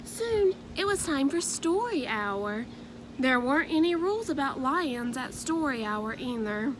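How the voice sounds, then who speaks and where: Unhurried higher-voiced woman reading a storybook. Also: big pitch swings, noisy background. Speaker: storybook reader. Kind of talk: expressive children's read-aloud narration.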